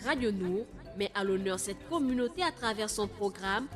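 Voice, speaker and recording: A woman's voice: medium pitch, animated, moderate pace. A woman reading a scripted promotional announcement for a radio programme. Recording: loud music bed under voice. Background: loud music.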